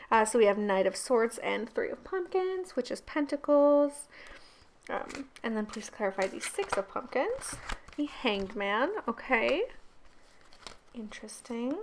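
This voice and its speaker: A woman with a croaky, gravelly voice giving a casual pick-a-card tarot reading, chatty and laughing often. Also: a little high, noisy background. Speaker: tarot reader and channel host.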